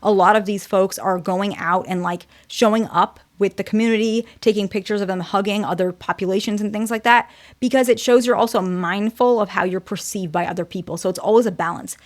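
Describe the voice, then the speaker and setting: Soft-spoken woman giving candid advice. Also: mid-range voice. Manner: candid, hedging, talks in short restarting phrases. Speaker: therapist and channel host. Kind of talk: casual advice talk to camera.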